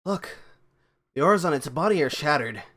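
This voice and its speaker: A man's voice: on the high side, expressive, moderate pace, a bit bright. A man streaming a video game, chatting casually and reading story dialogue aloud, with game music behind him.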